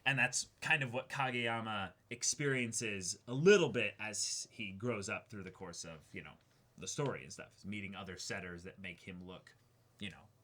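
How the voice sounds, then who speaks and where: A man's voice: lowish voice, normal speed, pushed and bright. A young man casually discussing and reading aloud as a co-host, speaking emphatically and swearing freely. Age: young adult. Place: small indoor studio with backdrop.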